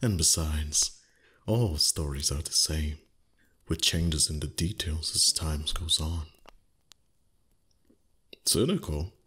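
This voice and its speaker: Casting: male actor for proud, age-old dragon in intimate roleplay audio. Deep, very raspy-breathy voice, formal phrasing, teasing disdain hiding a soft heart. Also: takes it slowly, pauses often, full character voice. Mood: cynical, teasing. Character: ancient, proud dragon, gruff but secretly tender. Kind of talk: roleplay ASMR voice acting.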